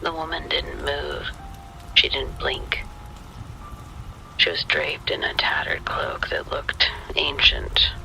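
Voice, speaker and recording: A man narrating a scripted spooky story in the first person, in a hushed, deliberate, suspenseful manner. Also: on the high side, fairly even intonation, creaky, whispered, rough recording.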